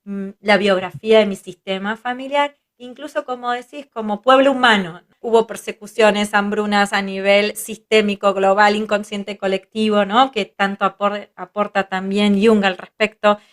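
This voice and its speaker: A woman's voice: medium pitch, steady tone, moderate pace, hardly any pauses. Thoughtful Argentine woman psychologist. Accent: Argentine Spanish. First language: Hebrew. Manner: thoughtful, listing ideas with frequent tag questions. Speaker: guest psychologist. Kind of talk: reflective interview conversation.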